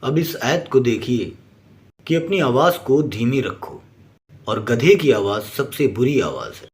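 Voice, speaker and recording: A man's voice: mid-range voice, big pitch swings, a little slow, a little mellow. A man narrating a scripted explainer in an emphatic, didactic manner. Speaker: presenter.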